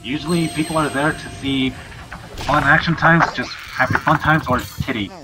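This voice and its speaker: A man's voice: fairly high pitch, moderate pace, over music, low-quality recording. A man in casual chat on a game stream, talking in a rambling, explanatory way.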